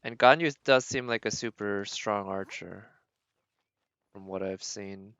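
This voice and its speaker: A man's voice: on the low side, unhurried, a bit bright, clear, resonant tone, no echo, dull audio. A man doing casual live gameplay commentary as a streamer, thinking aloud as he narrates his own decisions. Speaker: game streamer.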